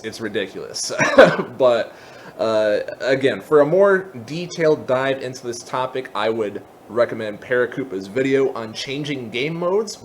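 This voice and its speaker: Guy with a mid-pitched voice walking thru a software tutorial, informal n a bit self-deprecating, some noise behind him. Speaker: tutorial host. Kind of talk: casual software tutorial walkthrough.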